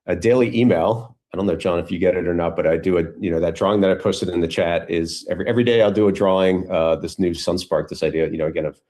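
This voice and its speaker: A man speaking casually as a guest on a video call, in halting, reflective phrases. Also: low voice, fairly even intonation, a little mellow. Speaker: guest speaker, community founder.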